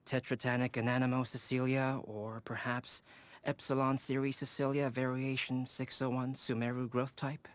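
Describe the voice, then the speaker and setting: Man as deadpan, scholarly young alchemist. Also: mid-range voice, normal speed, dry recording, lightly acted. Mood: serious, measured. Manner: deadpan, precise, formal. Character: calm, matter-of-fact young male alchemist scholar. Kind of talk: scripted game voice acting.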